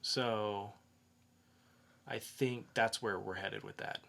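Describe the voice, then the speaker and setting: A man co-hosting a podcast, talking casually through entertainment news in a halting, conversational way with pauses. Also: lowish voice, steady tone, creaky, sharp tone. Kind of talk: casual geek news chat.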